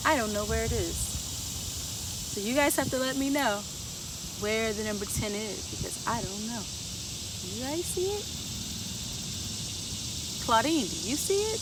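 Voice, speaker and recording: Woman, kids' story time host, upbeat, asks the children questions, somewhat high voice, off-mic outdoors. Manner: upbeat, talks straight to young viewers with questions. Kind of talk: reading aloud and teaching kids. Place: outdoors in a garden. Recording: distant outdoor mic with insect noise. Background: insects and crickets outdoors.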